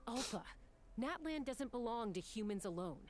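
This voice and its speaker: Defiant young heroine, very animated woman acting. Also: on the high side, moderate pace, slight background noise, close to an everyday voice. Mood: firm, impassioned. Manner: firm, blunt, confrontational. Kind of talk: dramatic scripted game dialogue.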